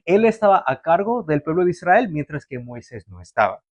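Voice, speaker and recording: A man's voice: on the high side, sing-song, brisk, no room sound. A young man born in Canada, preaching a sermon to a livestream audience in a conversational way, with fillers and restarts. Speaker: pastor.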